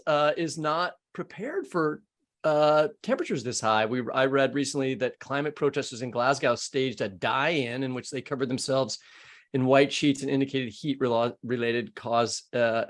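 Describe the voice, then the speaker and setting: A man with an American accent and a mid-pitched voice hosts a conversational show. He talks casually and haltingly, often rewording a thought midway through. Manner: halting, rephrasing as he goes. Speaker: podcast host. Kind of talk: casual interview chat.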